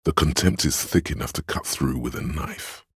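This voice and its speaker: Game narrator: a man's empathetic inner voice, bass-deep and heavily husky, perceptive, tender, literary and unhurried in phrasing. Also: a bit bright, no echo, studio quality, full character voice. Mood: dry, observant.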